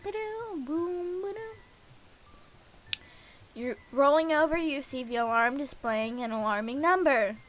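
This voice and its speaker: A woman's voice: high voice, a little slow, pauses often, a bit bright, slight background noise. A woman streaming a visual novel, reading it aloud with playful asides and bits of nonsense scatting. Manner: playful, scatting nonsense syllables and muttering to self. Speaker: game streamer.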